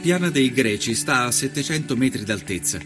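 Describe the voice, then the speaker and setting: A man's voice: medium pitch, animated, normal speed, close to an everyday voice. An actor playing an observant nineteenth-century journalist, reading his travel diary as scripted narration in speech. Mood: admiring, descriptive. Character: male journalist of the 1890s, observant, admiring traveller. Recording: music behind the voice. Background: music.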